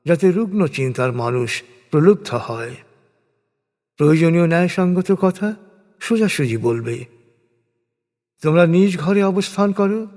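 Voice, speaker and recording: Higher-voiced man reciting in long reverb. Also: darkish tone. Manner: measured, formal phrasing with deliberate pauses. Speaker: narrator of scripture translation. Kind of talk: scripted solemn recitation of translated scripture. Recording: long reverberant hall-like echo.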